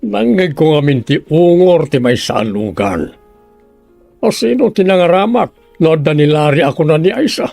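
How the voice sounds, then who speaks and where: An actor plays a suspicious man of the house in a radio drama. His creaky voice sits fairly high and swings in pitch as he demands answers. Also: very animated, normal speed, warm tone, no room sound, soft background music, heavily acted. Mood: suspicious, demanding. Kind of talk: Ilocano radio drama acting.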